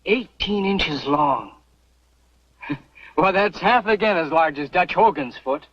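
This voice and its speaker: A man's voice: sits high, expressive, slow, fairly bright tone, dry recording, exaggerated voice. An actor playing an eager, lovestruck young mining camp man with an earnest, boyish manner in a vintage Western radio drama. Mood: amazed, curious. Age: young adult. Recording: muffled old radio recording, faint music.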